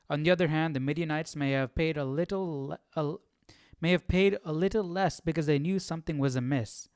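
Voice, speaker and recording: A man hosts a study livestream in an informal, slangy way, moving between chat and reading notes. His voice is fairly high for a man and very clear, captured close and dry. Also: dry recording. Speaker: host of a Bible study livestream.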